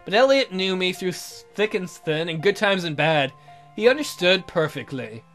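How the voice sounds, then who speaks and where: Male game host, very high voice, theatrical reader of romance narration, music underneath. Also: very animated, moderate pace, a bit bright. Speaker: gaming video host. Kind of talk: reading visual novel narration aloud.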